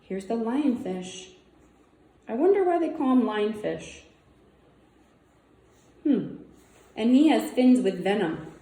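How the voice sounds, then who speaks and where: Female read-aloud presenter for kids' nonfiction, mellow and unhurried, simple wondering asides, roomy echoing sound. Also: on the high side, lively intonation. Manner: simple child-directed phrasing, wondering aloud. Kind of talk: reading a children's nonfiction book aloud with casual asides.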